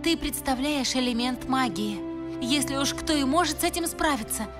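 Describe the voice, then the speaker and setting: An actress playing a regal adult pony princess in a dubbed cartoon, speaking in a formal, grave and stately way. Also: female voice, sits high, moderate pace, over music, natural voice. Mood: solemn.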